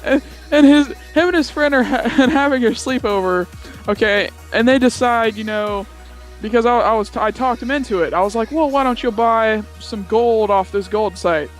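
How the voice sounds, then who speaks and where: Young male gamer, extremely high voice, casual giggly storyteller who rambles and repeats himself, light music underneath. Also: moderate pace.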